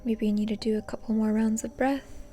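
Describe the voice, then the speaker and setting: A woman leading a guided meditation in a soft, breathy, often near-whispered voice, calm and gently instructing. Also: a little high, brisk, faint music behind. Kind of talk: soothing guided meditation narration.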